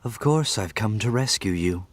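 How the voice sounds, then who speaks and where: A man playing a stately, wealthy lord in an audiobook drama, speaking calmly in formal, archaic phrases. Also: on the low side, moderate pace, dry recording. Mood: calm, reassuring. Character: stately wealthy lord, calm, insightful, smooth-talking rescuer.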